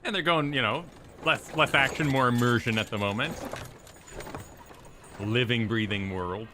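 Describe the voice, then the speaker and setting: A man's voice: mid-range voice, normal speed, background noise. A man co-hosting a stream, chatting casually as he reacts to video game cutscenes. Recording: game music playing behind voice. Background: video game cutscene music.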